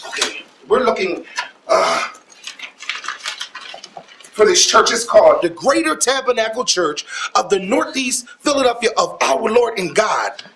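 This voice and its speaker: A man's voice: sits high, sing-song, a little slow, long pauses, exaggerated voice. An American man playing a polite, trusting churchgoing husband in a stage play, slightly hesitant as he talks. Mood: polite, hopeful. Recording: echoey stage, distant mic, noisy.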